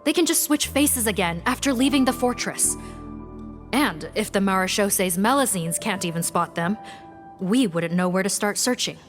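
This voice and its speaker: A woman playing a brisk, dutiful officer. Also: mid-range voice, expressive, lightly acted. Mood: firm. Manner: brisk and duty-minded. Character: brisk dutiful female law officer, team leader. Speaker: law enforcement officer. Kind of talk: video game voice acting. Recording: game audio with clear music behind.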